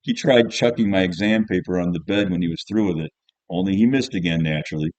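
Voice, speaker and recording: A man's voice: low voice, fast talker, a little mellow, no room sound, close to an everyday voice. A man reading as a jaded, cynical teenage schoolboy, narrating an audiobook in the first person in a colloquial, grumbling, offhand way.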